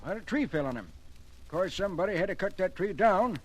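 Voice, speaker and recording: A man's voice: high-pitched, expressive, fast talker. A man playing a blunt, nosy small-town sheriff with rustic, folksy talk in an old radio drama. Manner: rustic drawl, folksy grammar. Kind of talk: old-time radio detective drama acting.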